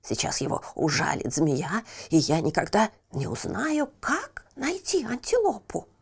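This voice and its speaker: A woman's voice: mid-range voice, wildly swooping pitch, a little slow, husky, full character voice. A woman voicing a sly, cowardly male barber in a fairy tale, muttering fearfully and spitefully. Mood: scornful, muttering.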